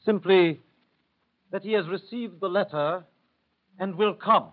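An actor playing an earnest, composed gentleman with a measured, formal manner in a classic radio drama. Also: sits high. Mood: composed, resolute. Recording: tinny, faintly noisy vintage radio recording.

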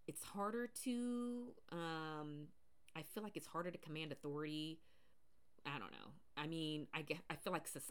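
A woman from the US Pacific Northwest with a deep voice, talking casually and rambling with fillers on her solo podcast. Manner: rambling, many fillers and restarts. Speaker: podcast host.

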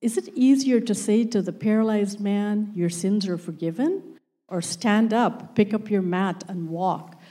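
Slow careful woman reading scripture. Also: mid-range voice, dark tone. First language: not English. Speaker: scripture reader.

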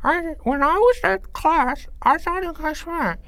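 A man voicing a frantic, stammering schoolboy with a high, squeaky voice. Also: a little slow, bright, forceful tone, no room sound, heavily acted. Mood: groggy. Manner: frantic, repeats words, later groggy and slurred. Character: anxious schoolboy Jeffrey, panicky and babbling.

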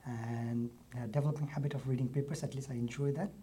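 A man from Nepal speaks in a husky, slightly breathy voice. He answers a podcast host's questions softly and hesitantly, often restarting his sentences. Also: mid-range voice, steady tone, fast talker. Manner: soft-spoken, hesitant with restarts. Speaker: guest, university lecturer. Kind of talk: casual interview answers.

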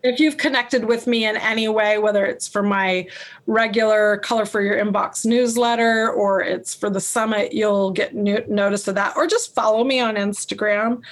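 A woman artist and color teacher being interviewed, talking loudly and passionately and laughing often. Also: mid-range voice, fairly even intonation, moderate pace. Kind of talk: podcast interview chat.

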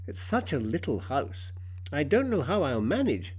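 A man's voice: on the high side, sing-song, normal speed, a little mellow, slightly rough, low-quality recording. A man putting on a snooty posh lady city mouse. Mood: haughty dismay. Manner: posh, affected, gushing. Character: snooty cultured female city mouse, boastful and condescending.

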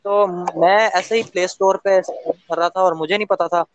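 A man's voice: sits high, steady tone, moderate pace, a little hiss or hum. A man from Lahore with a Pakistani accent, chatting casually and hesitantly as he searches for words. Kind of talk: casual chat with a new acquaintance.

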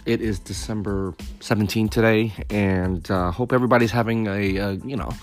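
A man in his thirties hosting a casual solo podcast, his voice still raspy from a recent cold, rambling with lots of fillers and restarts. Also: lowish voice, steady tone, normal speed, faint music behind. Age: 30s. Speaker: podcast host.

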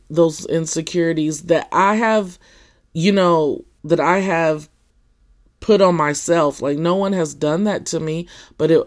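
A podcaster with a scratchy, somewhat deep voice, talking in a casual personal monologue full of fillers and restarts. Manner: halting, with many fillers and restarts.

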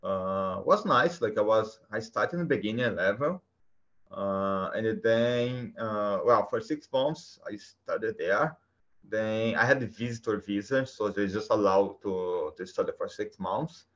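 A man from Brazil with a Brazilian Portuguese accent, answering interview questions in a halting way with many fillers. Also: fairly low pitch, a bit bright. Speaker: guest. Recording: dull lo-fi sound.

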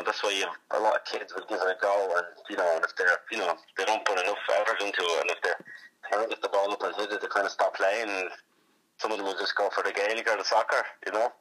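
A man's voice: deep voice, little pitch movement, fast, pushed and bright, rough recording. A man with an Irish accent giving casual interview answers, repeating himself and often checking in with "you know". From born in Iraq, moved to Ireland as a child. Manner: repetitive, conversational, peppered with "you know". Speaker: interview guest, hurler.

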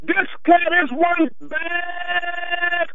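A man's voice: very high-pitched, level tone, drawn-out words, gravelly, bright, forceful tone, no room sound. A man calling a radio show over the phone, talking with an enthusiastic, theatrical fan delivery. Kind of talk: excited fan chat with mock arena introduction. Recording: dull lo-fi phone line.

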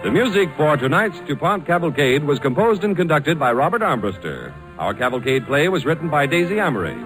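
A man announces in formal 1940s radio style, with a fairly high, animated voice. His delivery is scripted and declamatory, heard as an old broadcast with music behind him. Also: brisk, poor audio.

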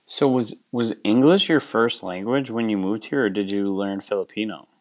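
A man's voice: on the low side, moderate pace. A man from Wisconsin hosting a casual interview, a teacher himself, asking curious, reflective questions. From Wisconsin, United States.

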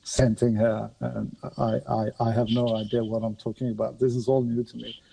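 A man hosting a conversational podcast on a mobile phone, courteous and halting, with rather formal wording. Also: medium pitch, level tone, gravelly, mellow, slightly rough, rough recording. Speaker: podcast host. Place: remote conversation over a podcast app on a mobile.

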